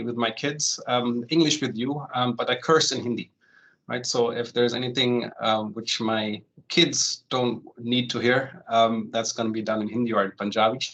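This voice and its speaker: Man, 40s, from Germany, home loan consultant, webinar presenter, relaxed, lots of ums, says "right?", average pitch. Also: a little hiss or hum, low-quality recording. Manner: relaxed, many "um" fillers and tag "right?". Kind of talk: online presentation, walking through steps.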